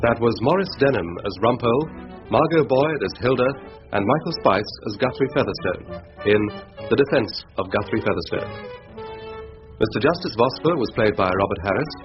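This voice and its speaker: A man's voice: medium pitch, expressive, moderate pace. A man reading scripted closing credits as a radio announcer. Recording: dull broadcast recording under loud music. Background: plucked-string music.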